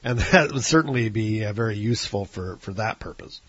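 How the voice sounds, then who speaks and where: A man's voice: on the low side, animated, a little fast, a bit bright, no echo. Congested nasal Midwestern man hosting a podcast. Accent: Midwestern American. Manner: rambling, many restarts and fillers, apologetic. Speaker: podcast host. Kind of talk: solo podcast monologue. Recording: dry, dull lo-fi recording.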